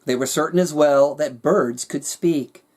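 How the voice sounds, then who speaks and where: A man narrating his own rhyming fantasy story with a measured, dramatic storyteller's delivery. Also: a little high, darkish tone. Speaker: author reading their own work.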